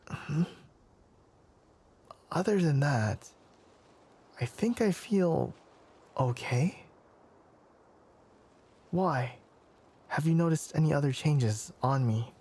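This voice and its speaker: A man playing a flustered, formal young fox-eared forest scholar in an intimate ASMR anime roleplay, hesitant and stammering, often slipping into a breathy whisper. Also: fairly high pitch, full character voice. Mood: woozy, uneasy.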